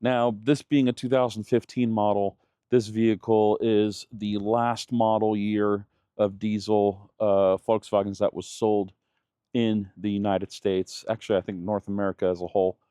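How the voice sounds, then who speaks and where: A man's voice: lowish voice, little pitch movement, moderate pace, dark tone, clear, resonant tone, dry recording, studio quality. A man in his thirties, raised in Germany, talking casually in a vlog with many fillers and restarts. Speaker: channel host.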